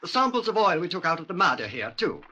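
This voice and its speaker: A dutiful forensic technician in an old radio play. His voice is fairly high, bright and very animated, slightly dulled by the recording. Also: fast, heavily acted. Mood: precise, dutiful. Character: precise, dutiful British police technician, male. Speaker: police technician. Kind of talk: 1950s radio drama acting. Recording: dull vintage radio recording.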